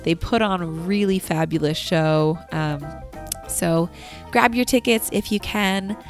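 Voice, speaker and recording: A woman co-hosting a local radio show, chatting casually and enthusiastically through event shout-outs. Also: on the low side, unhurried, soft background music. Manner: upbeat, enthusiastic.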